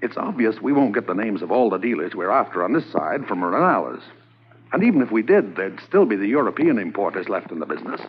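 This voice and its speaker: An actor playing an undercover police corporal who poses as a millionaire, confident and lightly wry, in a 1950s radio drama. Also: male voice, fairly low pitch, sing-song, quick pace, husky. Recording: muffled lo-fi old radio transcription with faint hiss.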